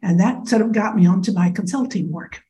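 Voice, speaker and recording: Thoughtful American woman with a mellow voice. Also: medium pitch, fairly quick, muffled recording. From United States (Iowa). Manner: thoughtful, frank, with frequent fillers. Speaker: interview guest. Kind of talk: conversational interview.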